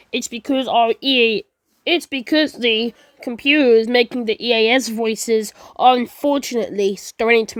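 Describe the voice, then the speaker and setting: A woman with a fairly high voice delivers a homemade emergency announcement in a close, dry sound. She rambles through it, stumbling over phrases, repeating them and correcting herself as she goes. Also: dry recording. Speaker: creator and performer of a fan emergency-alert scenario. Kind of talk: improvised amateur disaster broadcast narration.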